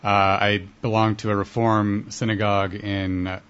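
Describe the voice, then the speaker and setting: Young male guest, low voice, halting delivery, muffled lo-fi audio. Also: little pitch movement, a bit bright, clean tone. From Columbus, Ohio. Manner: hesitant, many uh fillers. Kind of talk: casual interview answers. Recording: dull lo-fi broadcast audio.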